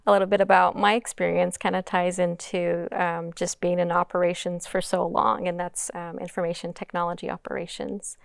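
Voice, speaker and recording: A woman moderating a panel on a conference stage, her voice low-pitched with a gravelly edge. She talks haltingly, stopping often to gather her words. The sound is smooth and dry. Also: level tone, no room sound. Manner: halting, frequent ums.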